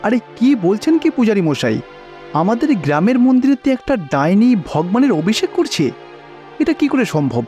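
A man's voice: high voice, big pitch swings, normal speed, warm tone, muffled recording, faint music behind. A man playing an alarmed village landlord in a cartoon fairy tale, with an earnest manner.

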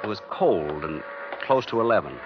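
A man's voice: fairly low pitch, animated, normal speed, background noise, natural voice. An actor playing a frantic everyman on the run, narrating a 1950s radio thriller with tense, dramatic phrasing. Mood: worried. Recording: dull old 1951 radio recording, loud music.

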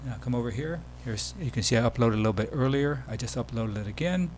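Male demo presenter, casual screencast tutorial delivery, mid-pitched voice, slight hum and noise in the recording. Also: expressive, fast, darkish tone.

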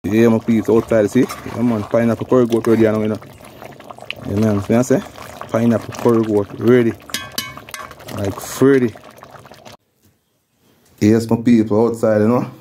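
Jamaican guy chatting thru his cooking on a phone, lowish voice, noisy cooking sounds behind him. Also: flat delivery, a little slow, long pauses, dark tone. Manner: repeats phrases and tags like "you know". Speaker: host of a cooking channel. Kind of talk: casual narration of cooking as he goes. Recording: clear background noise, pot sizzling and clatter.

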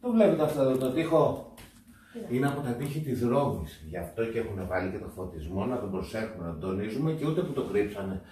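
A man's voice: lowish voice, expressive, mellow, distant mic. Man explaining things, echoey and off-mic. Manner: explanatory, addressing viewers. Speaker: father in a family travel vlog. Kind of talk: casual house-tour commentary. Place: small stone-walled holiday apartment. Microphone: camera mic from a few steps away. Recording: roomy echo, off-mic, faint noise.

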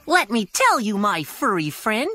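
A woman's voice: mid-range voice, big pitch swings, measured pace. A woman voicing a vain, chirpy little cricket in a children's cartoon, boastful and theatrical. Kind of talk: animated children's cartoon acting. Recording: music bed behind the voice.

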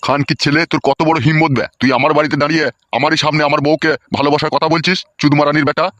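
A man's voice: a little high, flat delivery, racing, a bit bright, dry recording, muffled recording, close to an everyday voice. A man voicing a suspicious, quarrelsome old husband in a cartoon comedy, cursing and blustering with a rural West Bengal accent. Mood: furious.

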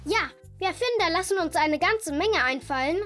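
Excited, very high-voiced little cartoon boy. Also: moderate pace, soft background music, heavily acted. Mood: proud, excited. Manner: excited, eager, proud. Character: cheerful, eager young boy zebra inventor. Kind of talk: dubbed children's cartoon acting.

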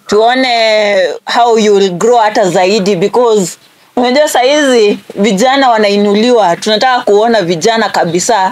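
A woman's voice: mid-range voice, no room sound. A woman in her twenties with a Kenyan accent, hosting a phone call in a casual, chatty style. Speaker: prank call show host. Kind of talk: casual phone chat mixing Swahili and English.

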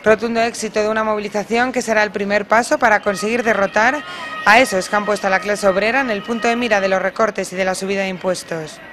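A woman's voice: mid-range voice, fairly even intonation, fast. A woman reporter reading a scripted news voice-over in a formal, emphatic way.

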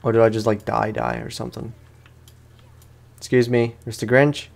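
Male gaming host, casual and slangy, medium-pitched, talking through gameplay at a desk microphone. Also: level tone, moderate pace, gravelly. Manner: slangy, talks to himself and to the game enemy. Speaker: gaming host, let's play streamer.

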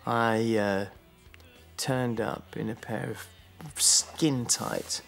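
A man's voice: mid-range voice, fairly even intonation, extremely slow, gravelly, a bit bright, faint music behind. A man, the band's singer, telling a self-mocking story in a documentary interview. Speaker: band singer.

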